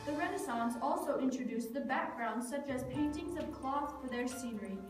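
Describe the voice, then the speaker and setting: A woman with a high voice reads scripted narration for a puppet show. The room rings heavily with echo and she is slightly far from the mic, with faint music behind. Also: animated, normal speed.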